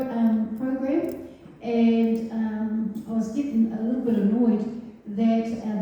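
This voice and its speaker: A woman's voice: fairly high pitch, dark tone. A woman from New Zealand speaking as a candidate at a town hall forum, plain-spoken and hesitant, with many fillers. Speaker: mayoral candidate. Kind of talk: answering at a public forum. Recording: dull, echoey hall from far off.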